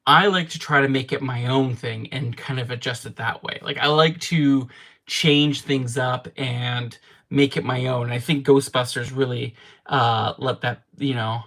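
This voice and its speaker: A man's voice: medium pitch, a bit bright. Chatty man streaming from home. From Canada. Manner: chatty, rambling, quick to laugh at himself. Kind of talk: casual livestream chat answering viewer comments. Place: home game room.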